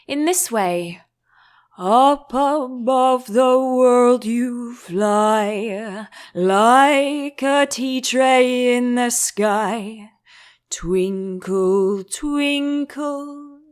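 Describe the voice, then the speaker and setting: A woman's voice: on the high side, very slow, clear, resonant tone, pitched above the performer's own voice. A woman voicing an eccentric male hatter, theatrical and emphatic, by turns contemptuous and mournful, in a storybook reading. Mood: singing.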